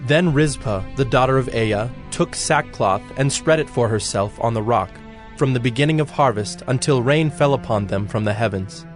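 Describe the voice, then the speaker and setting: A man's voice: medium pitch, normal speed. Solemn male scripture narrator over faint music.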